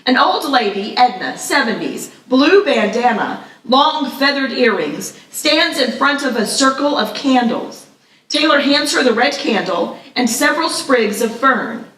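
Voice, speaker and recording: A woman's voice: high-pitched, animated, a little slow, fairly bright tone, distant mic. A woman reading screenplay stage directions as narrator at a live table read. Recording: echoey room, slightly off the mic.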